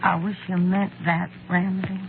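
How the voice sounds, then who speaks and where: An actress playing a kind, tired office secretary in an old-time radio drama, sympathetic and gently scolding. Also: female voice, lowish voice, little pitch movement, a little slow, creaky. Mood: tired, tender. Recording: muffled, noisy old radio recording.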